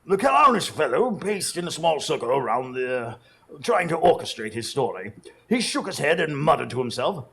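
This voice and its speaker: Radio drama narrator-sidekick: earnest man male actor, fairly high, raspy voice with gravel, dramatic storyteller. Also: big pitch swings, moderate pace, fairly bright tone, full character voice. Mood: suspenseful narration.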